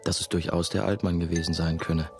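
An actor playing a brooding, haunted former novice, confiding his story in measured, terse radio drama narration. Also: sits low, flat delivery, gravelly, faint music behind. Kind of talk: first-person radio drama monologue.

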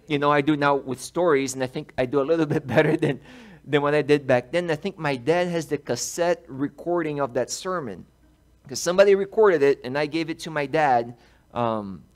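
Pastor guy from brazil, 30s, lively storytelling sermon in a big church, headset mic, slightly high voice. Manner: animated, gestures while speaking. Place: large church sanctuary, indoors.

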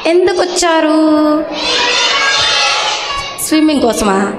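A woman's voice: sits high, lively intonation, slow pace, over a noisy crowd, very noisy. A woman voicing a sweet, childlike little girl puppet who chats with children in a puppet show. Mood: cheerful. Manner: childlike, cutesy, questioning the children.

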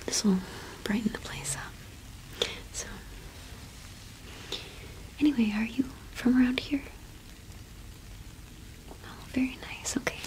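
A young woman whispering gently and unhurriedly in a casual ASMR roleplay, close to the microphone. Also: a little high, slow pace, pauses often, poor audio. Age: young adult. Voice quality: breathy whisper. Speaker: ASMR roleplay performer playing a shopkeeper witch.

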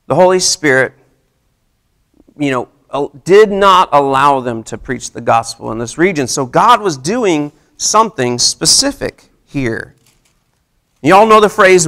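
Animated, high-voiced preaching man. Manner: animated, conversational preaching with audience questions. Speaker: pastor. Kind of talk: sermon. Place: church hall with seated congregation. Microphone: handheld mic, far camera.

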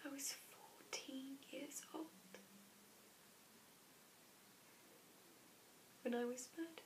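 A woman performing a dramatic monologue as a wistful, haunted murdered fourteen-year-old girl, halting and often whispery, with long pauses. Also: high-pitched, steady tone, lightly acted. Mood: hushed, mournful. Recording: hissy background noise.

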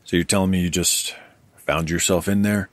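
A man's voice: sits low, steady tone, normal speed, dry recording, close to an everyday voice. An actor playing a wary, questioning man who keeps careful records, in an audio drama. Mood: guarded. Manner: questioning, guarded.

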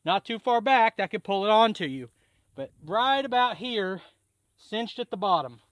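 A man in his thirties talks through a farm project in a chatty, step-by-step way. His voice sits high and sounds bright and forceful. The sound is dry and close, with no room echo. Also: animated, normal speed. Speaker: farm vlogger. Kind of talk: casual how-to vlog. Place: outdoors at a farm, by a garage and a pond.

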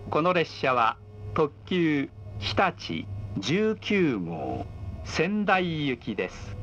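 A man giving formal pre-recorded train announcements in a polite, measured, scripted manner. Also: fairly high pitch, big pitch swings, fairly bright tone. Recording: dull sound with faint background noise.

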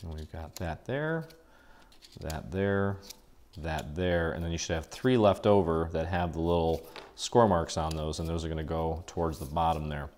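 A man hosting a casual step-by-step paper craft tutorial, chatty and relaxed, thinking aloud with fillers as he works. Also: very deep voice, normal speed.

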